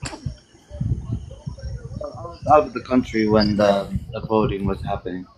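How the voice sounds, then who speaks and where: A man from Palestine talking politics in a casual online discussion, measured and thoughtful, with many pauses and fillers. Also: fairly low pitch, a little hiss or hum, low-quality recording. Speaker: guest.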